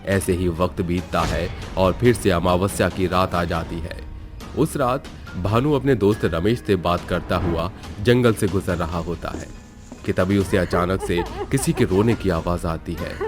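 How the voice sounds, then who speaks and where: A male storyteller with a low voice narrates a horror tale. His delivery is scripted and dramatic, and faint music plays behind him. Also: measured pace.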